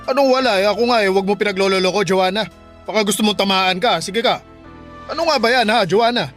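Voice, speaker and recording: A stern middle-aged father in a Filipino radio drama. He scolds gruffly in a raised, high voice, with soft music behind him. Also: fast talker. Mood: stern, impatient.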